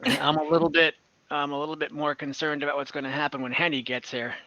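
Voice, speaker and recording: A man's voice: on the high side, level tone, quick pace, bright, forceful tone, muffled recording. A man improvising a casual, matter-of-fact prison official in a tabletop roleplay, with a laid-back, hedging manner.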